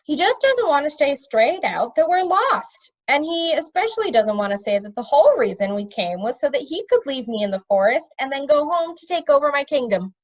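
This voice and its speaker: A woman's voice: high-pitched, big pitch swings, fairly quick, few pauses, lo-fi sound, pitched above the performer's own voice. A woman voicing an eager, blunt young boy prince who speaks up insistently, in a storybook reading. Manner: insistent, outspoken.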